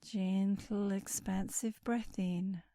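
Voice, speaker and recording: A woman leading a guided sleep meditation in a soothing, unhurried manner, with breathy endings to her phrases. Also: mid-range voice, fairly even intonation, warm tone, no echo.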